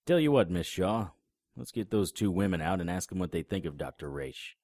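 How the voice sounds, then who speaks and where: An actor in an audio drama playing a cynical, sardonic, hard-boiled private investigator with a terse delivery. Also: male voice, low-pitched, lively intonation, fairly quick, a little mellow, no echo, clean studio sound. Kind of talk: audio drama acting with noir narration.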